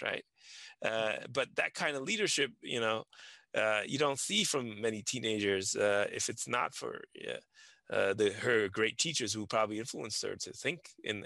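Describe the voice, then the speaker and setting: A man co-hosting a podcast, talking haltingly with many "uh"s and tag questions. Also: medium pitch, creaky, sharp tone, no echo. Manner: halting, filled with "uh" and "right?". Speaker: podcast co-host.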